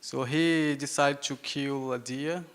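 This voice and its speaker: Young Brazilian man, Yawanawa native speaker, halting public talk, slow delivery, long hall reverb. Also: mid-range voice, steady tone, clear, resonant tone. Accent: Brazilian Portuguese. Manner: halting, repeats words, laughs often. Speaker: invited speaker. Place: hall with an audience. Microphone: handheld microphone.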